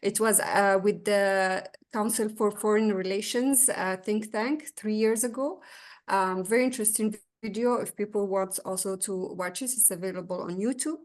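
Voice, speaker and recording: A woman's voice: mid-range voice, moderate pace. Formal woman moderator from Morocco. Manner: formal, reads prepared introduction. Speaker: moderator and discussant. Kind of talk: webinar moderation.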